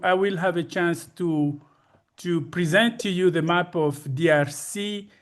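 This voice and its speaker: A man gives a personal conference presentation in a halting, deliberate, formal and courteous manner. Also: a little high. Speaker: conference speaker, retired ophthalmologist.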